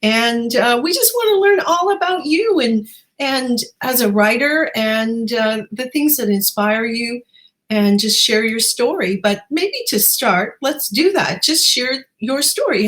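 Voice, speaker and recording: A woman's voice: on the high side, animated, a little slow, fairly bright tone. Woman hosting an author interview. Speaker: talk show host interviewing an author. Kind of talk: book show interview, introductions and questions.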